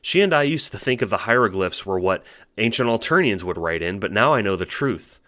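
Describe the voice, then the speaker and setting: A man's voice: on the low side, animated, a little fast, fairly bright tone. A man playing a meek, earnest young male troll who talks with a hesitant, apologetic stammer in an audio drama monologue. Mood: earnest, intrigued. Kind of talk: audio drama monologue, recounting adventures as a log.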